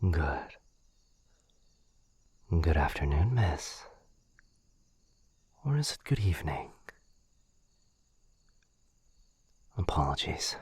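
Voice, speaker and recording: A gentle, protective vampire gentleman, voiced by a man, murmurs slowly in an extremely low voice. It is very breathy, soothing ASMR roleplay acting, close to the mic. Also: no room sound, exaggerated voice. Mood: gentle, apologetic. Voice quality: breathy, husky, whispery. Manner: soothing, apologetic. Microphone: close mic, dry.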